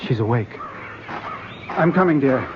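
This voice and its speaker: A man's voice: medium pitch, lively intonation, unhurried, close to an everyday voice. An actor playing an anxious, protective husband of a sick woman in a vintage radio drama. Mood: tender, soothing. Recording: muffled old radio recording, clearly noisy.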